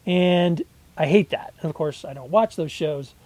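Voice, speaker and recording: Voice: medium pitch, moderate pace, a little mellow, no room sound. A podcast co-host about to turn fifty, speaking in casual, rambling chat with hesitant restarts. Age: 40s.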